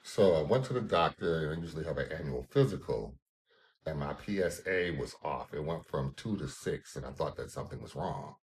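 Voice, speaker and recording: A man's voice: a bass voice, expressive, a little fast, a little mellow. A man in his fifties, a caregiver, explaining his experience in detail in a documentary interview. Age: 50s.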